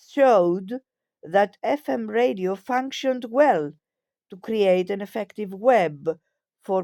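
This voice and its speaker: A woman narrates in a slow, measured documentary style. Her tone is soft-edged and mellow, at a middle pitch for a woman. The delivery is formal and scripted, and the sound is close and dry. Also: no echo. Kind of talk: scripted documentary narration, reading a history text.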